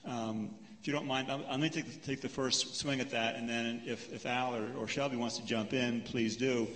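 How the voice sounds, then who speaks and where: Guy moderating a q&a in a big hall, calm and methodical, lots of reverb. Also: mid-range voice. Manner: measured, explanatory, counts points off. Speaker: panel moderator. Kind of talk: public lecture Q&A, paraphrasing and answering. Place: lecture hall.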